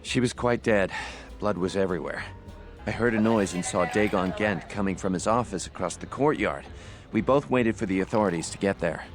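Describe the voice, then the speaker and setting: An amiable cantina gambler voiced by a man from a video game, fond of drink and chat. His voice sits fairly low, with clear music behind it. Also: fairly quick, close to an everyday voice. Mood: sober, recounting. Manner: affable, chatty, colourful. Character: amiable, hard-drinking cantina card player. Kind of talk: video game character dialogue.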